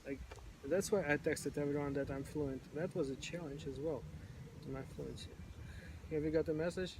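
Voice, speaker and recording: A man's voice: a little high, fairly even intonation, normal speed, background noise. A man in casual conversation who now and then repeats sounds when stuck on words. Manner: occasional stuttered repetitions, informal phrasing. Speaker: stammering support group member.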